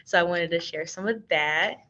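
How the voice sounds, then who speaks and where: An American woman with a slightly low voice leads an online workshop. She talks informally, with a playful, self-deprecating chattiness. The sound is a little dull, as on a video call. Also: normal speed, sharp tone. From Texas, US. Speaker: workshop trainer and organization's communications coordinator. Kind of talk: casual online training presentation.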